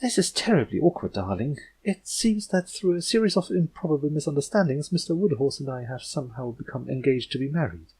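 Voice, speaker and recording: A man's voice: on the high side, lively intonation, brisk, few pauses, darkish tone, slightly rough, voice pushed up, poor audio. A man voicing a fashionable female pony in a storybook, genteel, anxious and confiding. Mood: embarrassed confiding.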